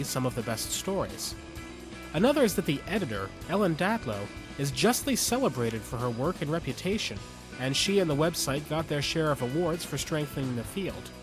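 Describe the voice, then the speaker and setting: A man with a slightly high voice delivers scripted commentary and story narration. He is earnest and measured, phrasing things deliberately. Music plays clearly behind him. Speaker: podcast host and story reader.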